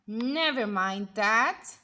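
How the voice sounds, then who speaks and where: A woman's voice: fairly high pitch, expressive, extremely slow, pushed and bright, exaggerated voice. A woman voicing a nasty, strict substitute teacher in a storybook, snapping out commands. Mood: menacing, strict.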